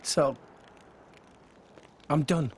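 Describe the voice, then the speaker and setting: A man's voice: on the high side, very slow, slight background noise. A man playing an earnest, self-doubting teenage boy hero in an emotional video game drama. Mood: defeated.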